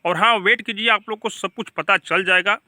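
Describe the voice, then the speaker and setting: A man with a Bhojpuri accent hosts an informal gossip news update. His voice is high and strikingly bright, recorded very dry. He talks emphatically and directly to his viewers. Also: steady tone, moderate pace, no room sound. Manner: emphatic, repeatedly addressing viewers as brother. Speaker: YouTube channel host. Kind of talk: informal gossip news commentary to camera or voice-over.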